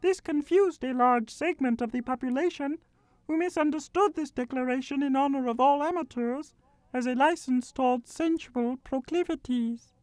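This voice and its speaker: Woman as a high-pitched, prim pedantic lecturer. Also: normal speed, dry recording, lightly acted, rough recording. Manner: scholarly, formal, deadpan. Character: prim, pedantic female lecturer on mock history. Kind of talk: mock lecture.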